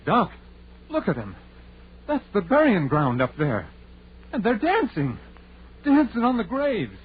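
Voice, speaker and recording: An actor playing a timid, superstitious country sidekick in old-time radio horror, with a folksy, hesitant way of talking. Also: male voice, sits high, huge pitch swings, a little slow, slightly rough, full character voice. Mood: alarmed. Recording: tinny, noisy vintage radio recording.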